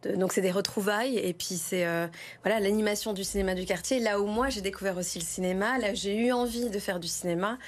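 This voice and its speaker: A woman's voice: mid-range voice, quick pace. A middle-aged woman from the Lyon region of France answers as an interview guest, speaking enthusiastically and repeating words for emphasis. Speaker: interview guest, film director.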